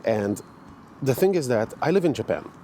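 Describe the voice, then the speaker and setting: A man's voice: mid-range voice, very animated, normal speed, dark tone, slight background noise. A man, chatting casually with many fillers and restarts as he walks through a park. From Hungary. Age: 40s. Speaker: fitness vlogger. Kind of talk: casual walking vlog. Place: outdoor park in the morning.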